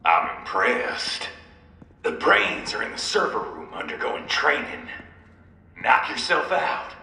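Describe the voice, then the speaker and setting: A man's voice: medium pitch, very animated, slow, vocal fry, pushed and bright, reverberant, faint music behind, exaggerated voice. An actor playing a cocky, mocking cyborg enemy in a game cutscene, drawling his taunts. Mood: taunting.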